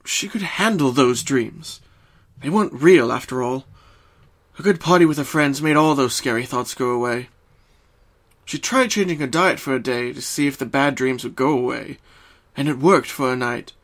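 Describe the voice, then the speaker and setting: A man reading a scripted story as narrator, in a solemn, deliberate and dramatic way. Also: medium pitch.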